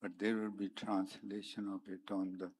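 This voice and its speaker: A man whose first language is Punjabi, giving a spiritual talk at a conference in a slow, meditative way, with many pauses between phrases. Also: low voice, level tone, darkish tone, slight background noise. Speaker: conference speaker. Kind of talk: spiritual talk mixed with sung verse. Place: conference hall.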